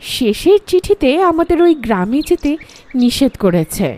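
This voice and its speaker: A woman's voice: high-pitched, sing-song, moderate pace, a little mellow. A woman playing a concerned mother of a grown son in cartoon dialogue. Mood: concerned, wistful.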